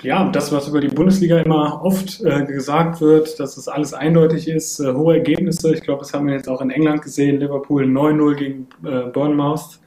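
A man's voice: fairly high pitch, fairly even intonation, brisk, hardly any pauses, dark tone, roomy sound, a little hiss or hum. A man co-hosting a casual football podcast, talking hesitantly with many fillers. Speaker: podcast co-host.

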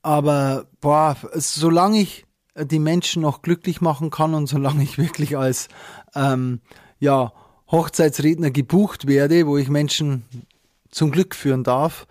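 A man's voice: fairly high pitch, fairly even intonation, measured pace, darkish tone, no room sound, clean studio sound. A man co-hosting a podcast who speaks with a regional dialect, chatty and joking, often laughing and using filler sounds. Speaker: podcast co-host, wedding speaker and teacher. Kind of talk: casual podcast chat.

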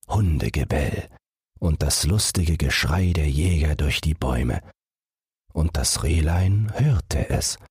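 A man's voice: rumbling low, a little mellow, slightly rough, no echo, studio quality. A man narrating a fairy tale as a bedtime audiobook.

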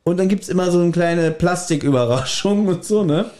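A man's voice: high-pitched, normal speed, warm tone. A man hosting a podcast in casual chat, rambling along with self-deprecating remarks. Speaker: podcast host.

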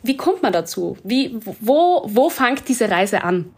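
A woman's voice: fairly high pitch, very animated, takes it slowly. Chatty woman hosting a podcast. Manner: enthusiastic, chatty. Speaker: podcast host. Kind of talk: interviewing. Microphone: video call.

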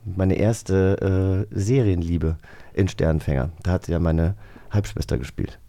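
A man's voice: sits low, measured pace, creaky, dark tone. A man interviewing in a casual, chatty style.

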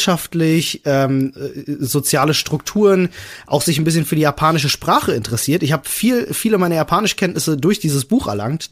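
A man co-hosting a podcast in casual chat, explaining things with enthusiasm. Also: on the high side. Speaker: podcast co-host.

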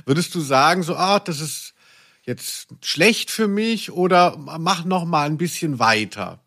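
A man with a fairly high voice hosts a podcast in a casual, humorous way. His delivery is ironic, with a touch of mock drama. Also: lively intonation, unhurried, bright, forceful tone. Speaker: podcast host.

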